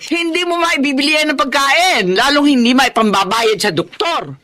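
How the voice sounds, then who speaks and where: A woman's voice: sits high, moderate pace, pushed and bright. An actress playing a stern, scornful middle-aged mother and grandmother in a Filipino radio drama, scolding emphatically.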